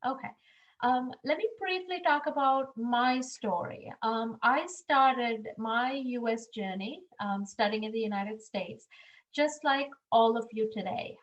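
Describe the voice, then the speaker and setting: A woman's voice: fairly high pitch, measured pace, muffled recording. A middle-aged woman from Sri Lanka, a university admissions staff member, giving an earnest webinar presentation over a video call with frequent ums.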